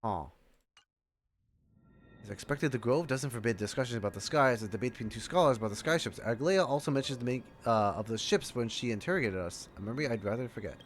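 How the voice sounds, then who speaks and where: A man's voice: mid-range voice, lively intonation, quick pace. Man, mid-range voice, casual livestream chatter, informal, self-interrupting, game music in background. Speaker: game streamer. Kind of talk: casual livestream reaction, reading game text aloud.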